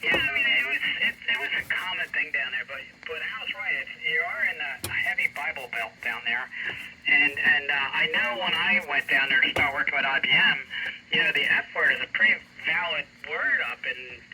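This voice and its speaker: A man's voice: a little high, animated, normal speed, gravelly. An older American man chatting casually over shortwave amateur radio, telling rambling anecdotes. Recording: very noisy shortwave radio reception, heavy static.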